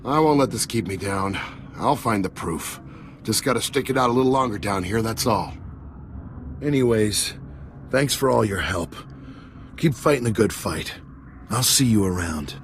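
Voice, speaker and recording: An actor playing a dogged, excitable streetwise reporter in a video game, slangy and expressive. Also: male voice, fairly low pitch, moderate pace, pauses often, a little hiss or hum. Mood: resolute.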